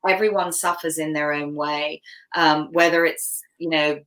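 A woman's voice: deep voice, fairly even intonation, normal speed, fairly bright tone. A woman from Canada, a podcast guest talking openly and reflectively, laughing easily. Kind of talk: casual interview talk.

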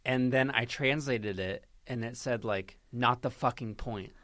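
A man's voice: medium pitch, measured pace, dry recording, clean studio sound. A man co-hosting a podcast in a casual, playful, jokey way. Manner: playful, animated. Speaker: podcast co-host. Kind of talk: casual comedic banter.

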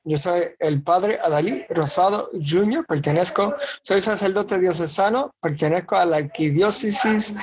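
A man in his thirties, a priest, telling his faith story in an interview with lively, joking anecdotes. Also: sits high, moderate pace, a crowd in the background, poor audio. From Bronx, New York. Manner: animated storyteller, jokes and acts out quotes. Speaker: diocesan priest.

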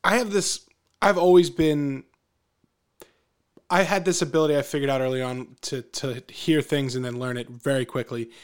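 A man's voice: medium pitch, moderate pace, clear, resonant tone. A man co-hosting a podcast, talking animatedly in casual conversation and often restarting his phrases. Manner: animated, repeats himself while searching for words. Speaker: podcast co-host.

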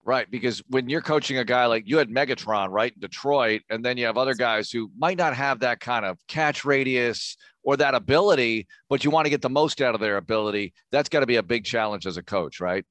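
A male sports interviewer asks his questions in an easy, conversational way. His voice is mid-pitched, and the recording is dry, with no reverb. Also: a little fast, hardly any pauses, fairly bright tone. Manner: conversational, addresses the guest as "Coach".

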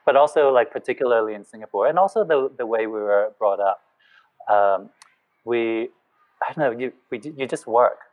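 A man's voice: mid-range voice, moderate pace, a little mellow. A man from Malaysia, a guest talking in a reflective, hesitant way. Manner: hesitant, many fillers and restarts, reflective. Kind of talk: casual podcast chat. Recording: phone-like narrow sound.

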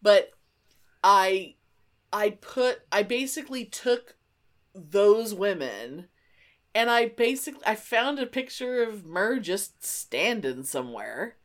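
Animated woman swapping fandom stories. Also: medium pitch, takes it slowly. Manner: animated, emphatic, sweary storyteller. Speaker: podcast co-host. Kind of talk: casual reminiscing chat.

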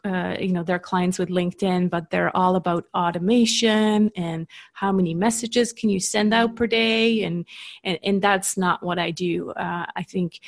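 A woman's voice: lowish voice, steady tone, normal speed. Chatty Swiss woman with Canadian-tinged English. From Switzerland. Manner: chatty, laughs easily between points. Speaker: podcast guest, business coach. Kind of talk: casual interview chat.

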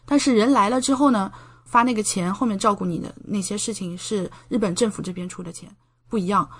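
A woman's voice: mid-range voice, a little fast, fairly bright tone. A woman in her thirties from China talking casually as a podcast guest, explaining things in a chatty way with frequent tag questions. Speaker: podcast guest, doctor studying abroad. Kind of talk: casual conversational interview, explaining and recounting.

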